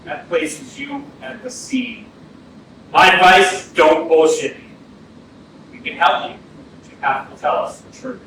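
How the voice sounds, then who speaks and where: An actor playing a blunt, seasoned police detective in a staged reading, speaking sternly and deliberately with some swearing. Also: male voice, high voice, sing-song, fairly bright tone, heavily acted. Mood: pressing. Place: indoor arts centre stage, staged reading. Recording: echoey theatre from far off, noisy.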